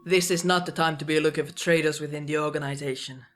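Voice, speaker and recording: A performer playing a stern, composed agency commander in a fan-made anime audio drama, speaking formally and with measured authority. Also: mid-range voice, fairly even intonation, a bit bright, a little hiss or hum, close to an everyday voice.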